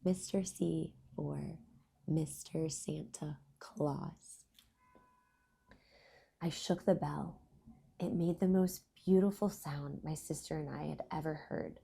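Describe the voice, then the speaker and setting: A woman hosting a children's storybook read-aloud, speaking in deliberate phrases and explaining things to young listeners. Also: low voice, steady tone, creaky, mellow, breathy edge.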